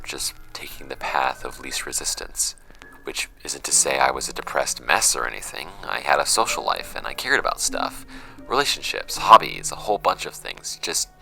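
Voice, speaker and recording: A man's voice: a bass voice, creaky, bright, forceful tone, heavily acted. A man acting a troubled young man in an audio drama, giving a hesitant, introspective confessional monologue. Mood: wry, reflective. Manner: hesitant, introspective, halting phrasing. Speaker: audio drama actor in the lead role.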